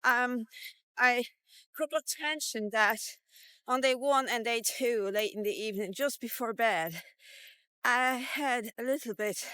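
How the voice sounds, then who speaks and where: Woman in her 50s vlogging, kinda slow and halting, thinks as she goes, clean dry sound. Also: fairly high pitch, fairly bright tone, clear, resonant tone, no echo. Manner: halting, reflective, pausing between short phrases. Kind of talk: casual walk-and-talk diet vlog.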